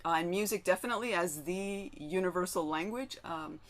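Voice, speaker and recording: A woman's voice: fairly low pitch, brisk. A woman moderating a panel discussion, whose first language was Spanish, talking with many fillers and a habit of ending points with "right?". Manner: frequent "um" and tag "right?".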